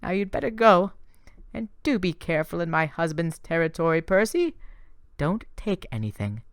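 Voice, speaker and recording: A man's voice: fairly high pitch, expressive, moderate pace, no room sound, clean studio sound, pitched above the performer's own voice. A man doing a gushing vain love goddess. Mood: swooning. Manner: breathy, gushing, theatrical.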